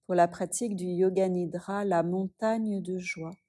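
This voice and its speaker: A woman yoga teacher guiding a yoga nidra relaxation, speaking softly and soothingly with long pauses. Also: on the low side, fairly even intonation.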